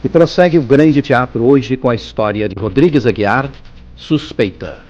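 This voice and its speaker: A man's voice: medium pitch, moderate pace, a little mellow. Dull-sounding vintage radio announcer, male. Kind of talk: old-fashioned formal radio announcing. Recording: dull, band-limited old radio sound. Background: music.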